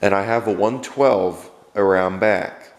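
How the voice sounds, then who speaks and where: A man's voice: fairly low pitch, a little slow, long reverb tail. A man with a stuffy cold, rambling casually to camera about his lawn tractors and restarting his sentences as he goes. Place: workshop.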